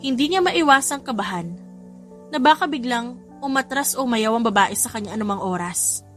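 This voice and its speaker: A woman's voice: on the high side, animated, normal speed, fairly bright tone. A woman with a Filipino accent giving a scripted, dramatic story narration, with music behind her.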